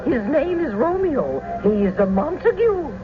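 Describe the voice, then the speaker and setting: A woman's voice: high-pitched, big pitch swings, unhurried. An actress playing a fussing, insistent nurse to a noble girl in a theatrical radio drama. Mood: coaxing. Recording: muffled, noisy old radio recording.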